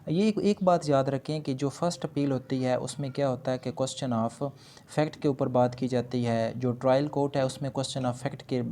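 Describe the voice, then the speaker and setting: A man's voice: mid-range voice, moderate pace, warm tone, slight background noise. A man with a Pakistani accent giving an explanatory law lecture point by point, switching into English for legal terms.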